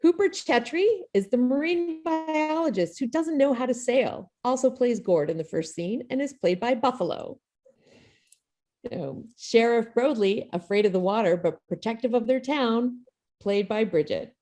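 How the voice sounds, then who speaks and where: A woman's voice: a little high, animated, moderate pace, mellow. Female host for video-call show introduction; informal, jokey, self-effacing delivery. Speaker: playwright and host of an online table read.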